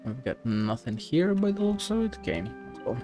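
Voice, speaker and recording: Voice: mid-range voice, big pitch swings, normal speed, mellow, soft background music. A streamer giving casual gameplay commentary, playful and sweary, mostly muttering to themself. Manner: playful, sweary, talking to self. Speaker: game streamer.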